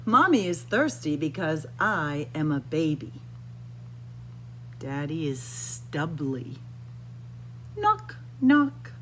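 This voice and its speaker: A middle-aged woman reading a picture book aloud to young children in a deliberate, expressive, sing-song way, recorded indoors. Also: low voice, slight background noise. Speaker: children's library storyteller. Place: indoors in a library room with bookshelves.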